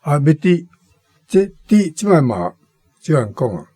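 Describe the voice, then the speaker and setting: Language commentator, man, Taiwanese accent, raspy and unhurried, informal halting explanations. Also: on the high side, big pitch swings, warm tone, no room sound, studio quality.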